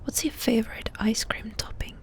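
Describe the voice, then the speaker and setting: A woman doing ASMR in a breathy whisper, softly and intimately asking questions with pauses between them. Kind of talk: whispered ASMR personal-attention roleplay.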